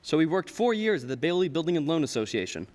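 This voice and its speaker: A man's voice: a little high, very animated, fast, darkish tone. A man playing the storyteller, narrating a scripted stage radio play. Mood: explanatory. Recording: reverberant hall stage recording.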